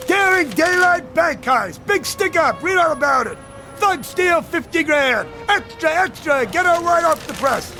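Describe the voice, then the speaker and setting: A man's voice: extremely high pitch, animated, measured pace, pushed and bright, full character voice. An actor playing a sly, suave, devilish newspaperman in a radio drama, with a theatrical, teasing manner. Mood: showy hawking. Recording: music behind the voice.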